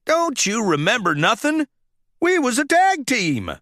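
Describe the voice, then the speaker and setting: Man playing a carefree country-bumpkin tow truck for a storybook, pitched high, lively. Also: a little slow, no echo, studio quality, exaggerated voice. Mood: playful. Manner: ungrammatical country-bumpkin talk. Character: folksy, carefree tow truck telling tall tales.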